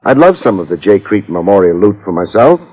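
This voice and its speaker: An actor playing a wry, tough private detective in a 1950s radio drama, sardonic and slangy in both narration and dialogue. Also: male voice, low voice, very animated, fast. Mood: wry, needling. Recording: muffled old radio recording with music.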